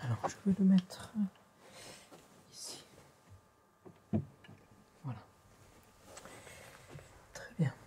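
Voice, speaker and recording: A man acts out a polite, attentive tailor in an ASMR roleplay, with a French accent. The voice is very soft, mellow and often whispered, moving slowly and formally. It sits close to the microphone over a faint layer of noise. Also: high-pitched, expressive, full character voice. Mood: calm, attentive. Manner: polite, formal, unhurried salesman-like. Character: courteous, attentive male tailor serving a client.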